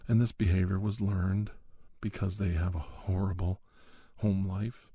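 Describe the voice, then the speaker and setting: A man hosting an ASMR podcast, giving a spoken monologue in a measured, reflective way with pauses. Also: sits low, fairly even intonation, warm tone, husky, no echo.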